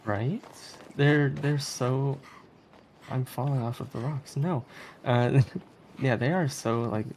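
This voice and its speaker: Mellow, chatty speedrunner with a soft-edged tone. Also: medium pitch, takes it slowly, a little hiss or hum. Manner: giggly, chatty, easygoing. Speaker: speedrunner playing a video game. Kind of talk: casual live speedrun commentary.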